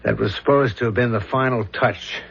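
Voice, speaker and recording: An actor playing an earnest, dogged homicide inspector in a 1970s radio drama, serious, probing and deliberate, on a dull old recording. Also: male voice, mid-range voice, fairly even intonation, gravelly, a bit bright, breathy edge. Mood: pondering, determined.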